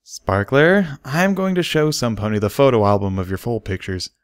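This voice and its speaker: A man's voice: medium pitch, normal speed, clean tone, dry recording, studio quality, voice pushed up, close to an everyday voice. A man voicing a shy, easily flustered pony mother with a hesitant, stammering delivery in a storybook reading. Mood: playful threat.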